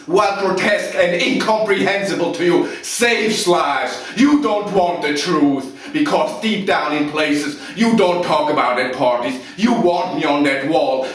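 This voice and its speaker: Proud, furious Marine commander played by a man, loud, higher-pitched, contemptuous courtroom declamation, heavily echoing room. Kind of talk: dramatic courtroom monologue. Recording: very echoey room, distant mic, noise.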